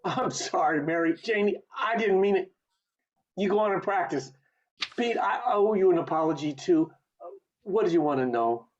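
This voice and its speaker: A man's voice: high voice, lively intonation, moderate pace. Guy doing stressed out dad at the end of his rope, radio drama, dull lo-fi call sound. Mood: apologetic. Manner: agitated, pleading. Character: desperate, frayed family man. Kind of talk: radio play acting. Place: home recording over video call. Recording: dull lo-fi video call audio.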